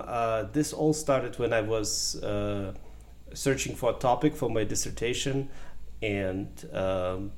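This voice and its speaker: Gravelly low-voiced man from Ukraine. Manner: thoughtful, hesitant, searching for words. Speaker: guest, psychology professor. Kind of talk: casual interview answers.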